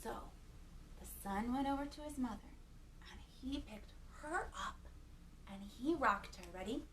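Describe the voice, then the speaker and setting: High-voiced woman reading a children's bedtime story. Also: a little slow, a bit bright, distant mic, slight background noise, low-quality recording. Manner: playful, asks young listeners questions, exclaims. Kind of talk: animated picture-book reading for young children.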